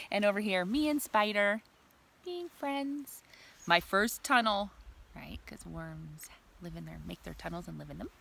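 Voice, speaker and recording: A woman's voice: lowish voice, steady tone, unhurried, gravelly, pauses often, fairly bright tone. A woman reading a picture book to children expressively, with simple explanations and questions to her young listeners. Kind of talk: read-aloud storytime with asides to young listeners.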